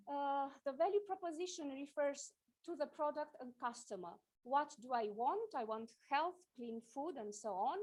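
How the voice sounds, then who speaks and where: A woman from Romania, giving a conference talk with slides, halting often with fillers and restarts. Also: sits high, rough recording. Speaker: conference speaker. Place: conference hall.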